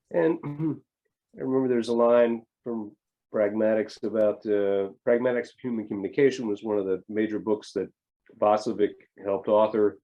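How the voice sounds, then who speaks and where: A man's voice: on the low side, warm tone, muffled recording. A middle-aged man talking reflectively in an interview, with hesitant restarts and rambling thoughts. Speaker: family therapist, guest.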